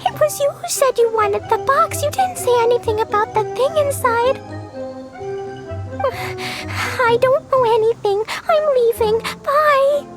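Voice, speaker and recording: Game role: guileless small child, squeaky-high, unhurried, matter-of-fact, music behind. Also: female voice. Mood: worried, defensive. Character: innocent, blunt little child treasure hoarder. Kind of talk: video game voice acting.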